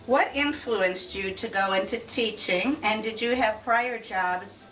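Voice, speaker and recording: A woman's voice: medium pitch, a little slow, fairly bright tone, recorded from a distance. A woman interviewing an older man, asking friendly, plain questions about his life. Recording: echoey, from off-mic, faint music.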